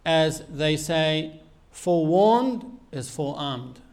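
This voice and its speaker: A man giving an Islamic sermon in a measured, earnest teaching manner with frequent pauses. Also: on the high side, lively intonation, a little mellow. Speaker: Islamic preacher.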